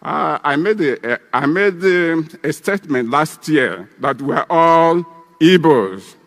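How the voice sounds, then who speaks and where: A man's voice: a little high, animated, a little slow, fairly bright tone, in a large hall. A man in his eighties with a Nigerian Igbo accent, giving a ceremonial speech as an elder breaking kola nut. He rambles and repeats himself. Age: 80s.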